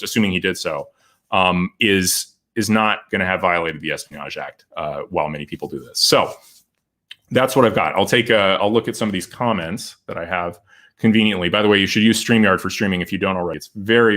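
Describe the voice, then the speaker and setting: A man hosting a livestream, giving legal commentary and answering viewer comments, often halting and restarting as he thinks aloud. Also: low-pitched, a bit bright. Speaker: lawyer and show host.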